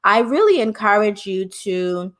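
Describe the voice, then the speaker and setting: A woman's voice: medium pitch, very animated, measured pace, a bit bright. A middle-aged woman from Jamaica, hosting a live-stream discussion and speaking passionately, often turning to address her viewers directly. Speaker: host and hypnotherapist.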